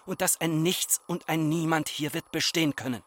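Tense, embittered farmer's son. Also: male voice, fairly high pitch, a bit bright. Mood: reproachful, foreboding. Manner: incantatory, reproachful, deliberate repetition. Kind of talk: literary radio drama acting.

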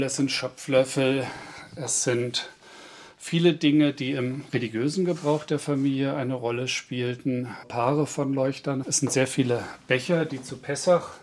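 Man explaining haltingly, faint background noise. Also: mid-range voice, darkish tone. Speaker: museum art historian and provenance researcher. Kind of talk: explaining objects on show.

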